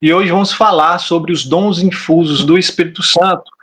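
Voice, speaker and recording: A man's voice: a little high, normal speed, fairly bright tone, breathy edge. A man hosting a podcast, opening the episode and formally introducing the guest. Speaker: podcast host. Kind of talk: scripted show opening.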